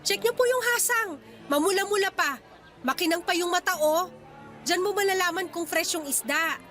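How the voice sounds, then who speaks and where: A woman playing a feisty young market fish vendor in a Tagalog radio drama, persuasive and quick to talk back. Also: extremely high pitch, a bit bright, soft background music. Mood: coaxing.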